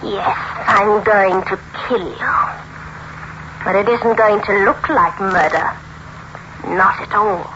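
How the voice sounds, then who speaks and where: An actress playing a menacing, murderous evil double in an old radio drama, taunting her victim with slow, deliberate delivery. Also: mid-range voice, fairly even intonation, pushed and bright. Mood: gloating, taunting. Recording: noisy, muffled old radio recording.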